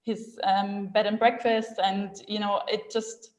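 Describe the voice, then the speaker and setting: A woman's voice: mid-range voice, little pitch movement, measured pace, reverberant. A young woman from Austria, guest on a marketing web show, chatting casually with lots of fillers and frequent laughs. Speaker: guest speaker. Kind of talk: casual chat.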